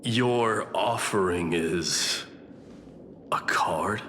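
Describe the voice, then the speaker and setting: Forceful, low, proud warrior rival. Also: male voice, extremely slow, slight background noise, full character voice. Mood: scornful, intrigued. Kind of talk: dramatic fantasy game voice acting. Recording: long hall reverb, faint music.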